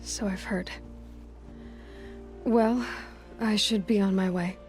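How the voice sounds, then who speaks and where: A woman's voice: medium pitch, steady tone, slow pace, darkish tone, close to an everyday voice. Determined young huntress, music behind played by a woman. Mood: guarded, soft. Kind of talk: video game cutscene acting.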